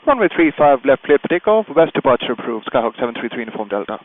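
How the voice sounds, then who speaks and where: A man's voice: mid-range voice, sing-song, very fast, no room sound, narrow, tinny audio. A man working as a pilot and video host, explaining procedures step by step from a small plane cockpit and making radio calls. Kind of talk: instructional vlog commentary with radio calls from the cockpit.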